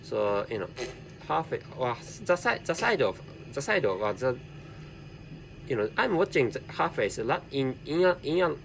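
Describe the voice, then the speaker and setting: A man whose first language is Japanese, speaking English with a Japanese accent in a halting solo commentary, repeating words and correcting himself. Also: mid-range voice, big pitch swings, creaky, a bit bright, slight background noise, rough recording. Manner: halting, with heavy repetition and self-correction. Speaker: podcast host.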